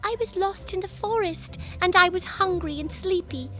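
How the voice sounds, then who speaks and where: A woman's voice: moderate pace, a bit bright, faint music behind, rough recording. Woman doing Goldilocks, a timid girl. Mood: apologetic. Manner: high, timid and sorry. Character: young girl Goldilocks, apologetic.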